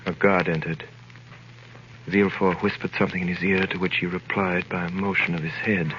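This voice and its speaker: A man's voice: low-pitched, level tone, a little fast, fairly bright tone. An actor playing a wrongly imprisoned young sailor telling his ordeal, in a grave, theatrical 1930s radio style. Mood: grave, wistful. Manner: grave, dramatic, theatrical delivery. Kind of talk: 1930s radio drama acting and first-person narration. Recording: noisy, muffled 1938 radio broadcast.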